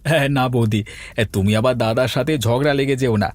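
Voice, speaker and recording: A man's voice: medium pitch, moderate pace, no room sound, close to an everyday voice. Man acting chatty village man, music behind. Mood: easygoing, chatty. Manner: colloquial, chatty. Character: village men: elder headman, easygoing bachelor neighbour. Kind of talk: Bengali cartoon fairy-tale voice acting. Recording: music under the voice.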